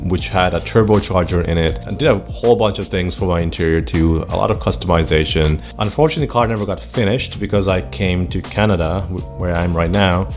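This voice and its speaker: Enthusiastic Finnish man over soft music. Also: deep voice, fast, hardly any pauses. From Finland. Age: 30s. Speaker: channel host. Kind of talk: personal vlog monologue.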